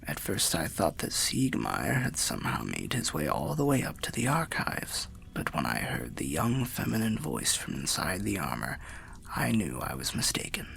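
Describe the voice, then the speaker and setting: A man playing a weary, wry undead storyteller in an ASMR fantasy roleplay, confiding in a breathy, gravelly half-whisper with old-fashioned phrasing. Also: low voice, huge pitch swings, moderate pace, fairly bright tone, heavily acted. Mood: wry, musing.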